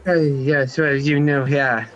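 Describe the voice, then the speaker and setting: A man's voice: medium pitch, little pitch movement, slow pace, lo-fi sound, faint music behind, lightly acted. A man playing a flustered nightclub manager in an amateur radio play. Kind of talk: hobby radio play acting.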